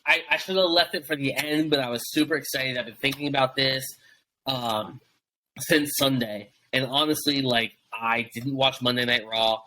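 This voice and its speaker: Bright-voiced rambling young podcast host. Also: male voice, medium pitch, normal speed, rough recording. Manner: rambling, many fillers and restarts. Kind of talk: casual wrestling podcast chat.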